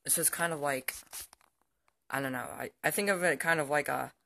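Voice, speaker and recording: A man's voice: on the high side, normal speed, vocal fry, sharp tone. A young male, teenage or barely adult, giving a casual, unscripted show-and-tell in a hesitant, rambling way that often trails off. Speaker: amateur vlogger. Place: indoors at home, by the TV.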